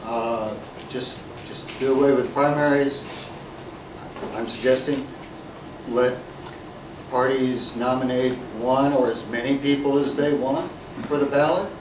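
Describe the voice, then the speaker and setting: A plain-spoken man closes his remarks in a halting way, with many pauses and fillers. He sounds far from the mic in an echoey conference room. A steady noise runs under his voice. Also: medium pitch. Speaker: former candidate speaking at a symposium.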